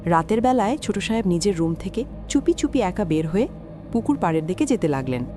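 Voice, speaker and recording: Female storyteller for scripted horror tale: mid-pitched, very animated, clear background noise. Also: a little fast. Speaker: narrator.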